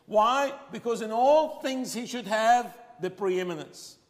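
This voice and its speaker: A man with an Arabic accent whose first language is Arabic, preaching a sermon with emphatic, drawn-out key phrases. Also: high-pitched. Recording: long hall reverb.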